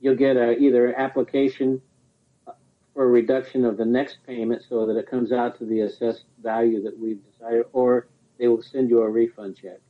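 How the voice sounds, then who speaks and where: Male hearing officer, mid-pitched, matter-of-fact, heard over a thin phone line. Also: flat delivery, normal speed. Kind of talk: procedural explanation.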